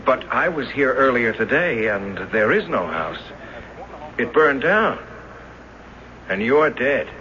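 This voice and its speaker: A man's voice: medium pitch, big pitch swings, takes it slowly, long pauses, sharp tone, exaggerated voice. An actor in a 1970s radio drama playing an earnest, agitated ordinary man facing the supernatural, urgent and insistent. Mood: bewildered. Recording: dull, noisy 1970s radio recording.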